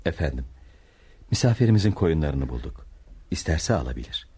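A man's voice: rumbling low, very animated, fairly quick, dark tone, slightly rough, exaggerated voice. A male actor playing a humble, obedient dervish disciple in a radio play, polite and deferential. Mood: respectful, kindly. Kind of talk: Turkish radio theatre acting.

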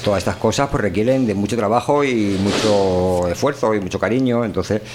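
With faint noise behind him, a man with a rather low voice chats as a radio host. His Castilian Spanish is colloquial and he rambles loosely from thought to thought. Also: fairly quick. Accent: Castilian Spanish, Spain. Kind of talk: informal, chatty monologue and discussion.

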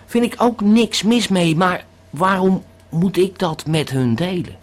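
A man's voice: high-pitched, lively intonation, a little slow. A man playing a rambling male tourist who is tripping on a pill, stammering and repeating his words in a radio drama monologue. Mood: rambling, uneasy.

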